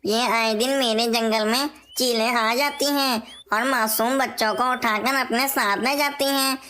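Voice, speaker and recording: A woman plays an anxious parrot king in a children's cartoon. The voice is high and very bright, with an earnest, declamatory delivery of a dutiful ruler and father. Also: steady tone, unhurried. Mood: indignant. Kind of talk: Hindi cartoon voice acting.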